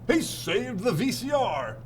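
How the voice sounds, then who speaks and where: A man voicing a grateful father who praises the family dog in drawn-out exclamations, read from a children's storybook. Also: high voice, big pitch swings, a little hiss or hum, pitched above the performer's own voice, heavily acted. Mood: proud, relieved.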